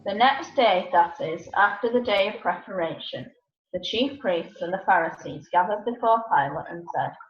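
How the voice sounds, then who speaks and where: A woman's voice: mid-range voice, lively intonation, normal speed. Woman narrating scripture, echoey room. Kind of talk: scripture reading. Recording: echoey room, slightly muffled.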